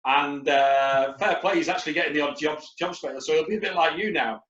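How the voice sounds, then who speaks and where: A man's voice: on the high side, brisk, a bit bright, echoey room, highs cut off. A man, a recruitment company leader, talking on a webinar panel in a rambling, anecdotal way with lots of fillers. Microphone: video call.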